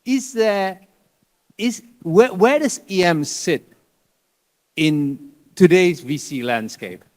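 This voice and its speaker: A man's voice: high-pitched, lively intonation, slow pace, a little mellow. A man who grew up in Malaysia, moderating a panel discussion with conversational, probing questions.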